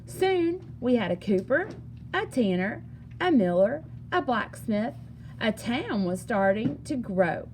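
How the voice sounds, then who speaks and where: A woman reading a children's picture book aloud in an expressive, singsong storytelling manner. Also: fairly high pitch, slow, slight background noise. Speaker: story reader.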